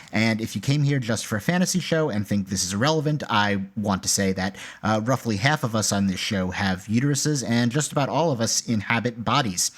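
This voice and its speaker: A male podcast host speaking earnestly and at a measured pace. His voice sits somewhat low. The recording is clean, with nothing behind it. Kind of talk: spoken show announcement.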